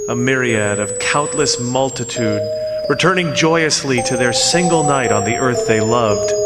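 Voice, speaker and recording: Male actor as desperate condemned man, radio drama, solemn and halting, heavy reverb, loud music behind. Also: mid-range voice, natural voice. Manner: dramatic, halting pleas and poetic, solemn narration. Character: frightened, desperate condemned man among the dead. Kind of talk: old-time radio theatre acting and first-person narration.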